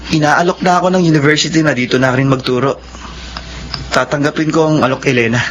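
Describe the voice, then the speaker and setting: A man's voice: on the high side, quick pace, a bit bright, natural voice. A man playing an earnest, encouraging young honor graduate in a Filipino radio drama. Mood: earnest encouragement. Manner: earnest, reassuring. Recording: radio drama with clear background ambience noise.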